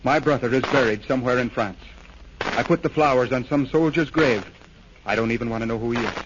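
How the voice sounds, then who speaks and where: An actor playing a grieving, devout war veteran in an earnest, solemn 1930s radio drama style. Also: male voice, mid-range voice, a little fast, lightly acted. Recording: noisy, dull 1930s radio recording.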